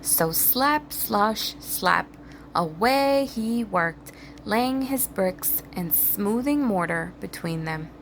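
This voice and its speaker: A woman narrates a children's storybook expressively. She takes her time, with wide swings in pitch and a bit of creak in the voice, over faint background noise. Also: animated, slow, low-quality recording. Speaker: storybook reader. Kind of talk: children's read-aloud narration.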